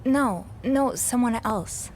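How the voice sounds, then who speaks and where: A woman's voice: on the high side, takes it slowly, a little mellow, a little hiss or hum. A woman playing an anxious young hotel maid in a video game, with a hesitant, earnest way of talking. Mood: earnest, hushed.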